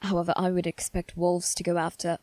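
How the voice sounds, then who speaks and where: A woman voicing a haughty, anxious young noble boy in a halting, formal monologue for dramatic ASMR roleplay. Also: on the low side, completely flat delivery, dark tone, dry recording, close to an everyday voice. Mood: uneasy pondering. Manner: halting, formal, self-reassuring delivery.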